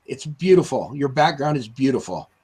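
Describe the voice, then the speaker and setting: A man's voice: medium pitch, expressive, moderate pace, a loud crowd. A man with a chest cold co-hosting a live stream over a video call, chatty and upbeat with lots of fillers. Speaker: co-host. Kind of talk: casual live-stream chat.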